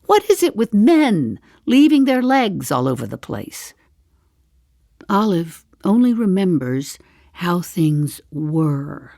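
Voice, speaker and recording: Casting: woman of about 75, darkly comic audio play. Dry wit, unhurried confiding delivery, studio-dry sound. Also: fairly low pitch, very animated, vocal fry, warm tone, no echo, full character voice. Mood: dry, rueful. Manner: deliberate, confiding, with dry understatement. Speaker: actor in a one-woman play. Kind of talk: theatrical audio drama monologue.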